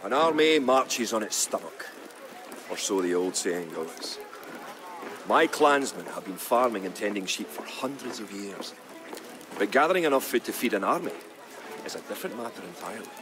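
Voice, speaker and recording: An actor playing a grave, resolute medieval clansman, narrating a war campaign in a solemn, measured, dramatic style. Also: on the low side, animated, noisy background, lightly acted. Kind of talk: dramatic scripted storytelling narration. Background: music behind the voice.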